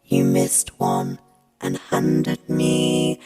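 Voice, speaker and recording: A woman with a gravelly voice telling a first-person anecdote. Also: drawn-out words, over loud music. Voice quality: gravelly, creaky.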